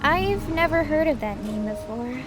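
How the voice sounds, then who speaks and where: A woman acts out a young lioness girl with a very high voice. She is friendly, eager and a bit socially awkward, chatting in a caring way. Music plays clearly behind her in this audio drama. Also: animated, normal speed. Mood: curious. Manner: caring, chatty, flustered when self-conscious. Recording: background music under the voice.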